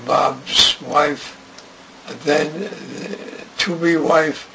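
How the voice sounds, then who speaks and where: An older man recalling his radio career in an interview, speaking haltingly and restarting often. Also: a little high. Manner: slow, halting, with many restarts. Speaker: interviewee, radio writer and director. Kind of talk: reminiscing interview. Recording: noisy recording.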